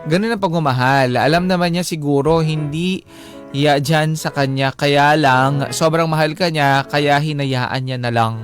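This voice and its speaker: A man with a Filipino accent co-hosting a radio show, chatting casually and reading out comments in an expressive, teasing way. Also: fairly high pitch, measured pace, soft background music.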